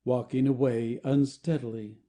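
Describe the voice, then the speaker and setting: Very mellow man narrating stage directions. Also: medium pitch, steady tone, moderate pace. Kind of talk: scripted narration of an audio play.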